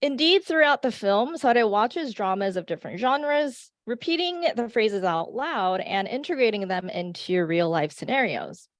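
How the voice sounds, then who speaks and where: A woman presenting an academic paper at a conference, reading in a measured way with frequent "uh" fillers and restarts. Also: medium pitch, expressive, no echo. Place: conference session.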